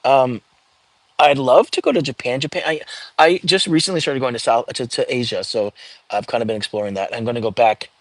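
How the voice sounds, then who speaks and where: A man hosting a casual live stream, chatting and reading viewer comments aloud with lots of fillers and restarts, in a calming voice. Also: medium pitch, brisk, dry recording. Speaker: livestream host.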